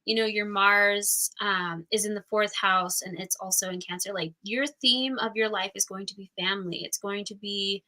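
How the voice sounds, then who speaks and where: A woman's voice: mid-range voice, fairly even intonation, moderate pace, hardly any pauses, bright, forceful tone, poor audio. A woman teaching a live astrology class in a casual, chatty way, full of fillers and frequent laughs.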